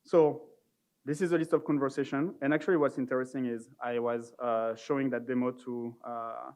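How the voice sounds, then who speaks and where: A man giving an informal, somewhat halting live product demo as a conference presenter. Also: medium pitch, little pitch movement, a little mellow.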